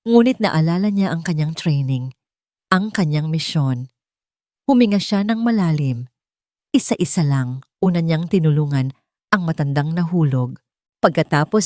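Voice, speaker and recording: A woman narrating a fictional audio drama in an expressive, dramatic storytelling manner. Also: low-pitched, no echo, clean studio sound.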